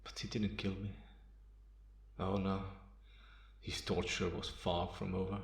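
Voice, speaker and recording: A man playing a bitter, guarded, centuries-old vampire in a slow ASMR roleplay monologue with measured pauses. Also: low voice, flat delivery, creaky. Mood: haunted, bitter. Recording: roomy, echoing sound.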